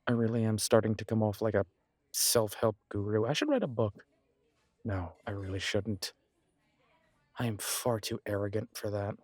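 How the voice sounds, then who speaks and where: A man's voice: on the low side, no echo, natural voice. A man playing a supportive, self-deprecating best friend in a conversational roleplay audio, talking in a reassuring, reflective way. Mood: self-mocking. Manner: reassuring, chatty, reflective pauses. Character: warm, encouraging male best friend, self-deprecating, gently earnest.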